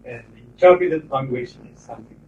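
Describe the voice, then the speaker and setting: A middle-aged man with a Japanese accent, lecturing a class in English about interpreting in a measured, explanatory way with pauses between phrases. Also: mid-range voice, a little mellow, slight background noise. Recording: roomy, distant, dull 1990s classroom tape.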